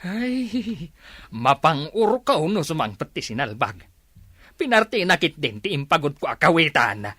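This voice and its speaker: Lady playing excitable grumbly villager in a radio comedy, deep voice, really dry close recording. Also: moderate pace, no room sound, full character voice. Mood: indignant. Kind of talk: Ilocano radio comedy drama acting.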